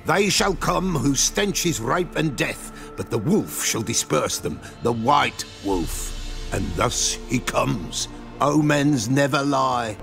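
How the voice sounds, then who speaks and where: An actor playing an eccentric old village soothsayer in a fantasy game, with creaky voice and archaic, riddling, repetitive speech. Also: male voice, medium pitch, lively intonation, slow, over music. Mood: wary, prophetic. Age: older. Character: eccentric, batty old village soothsayer who sees ghosts. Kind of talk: video game fantasy acting.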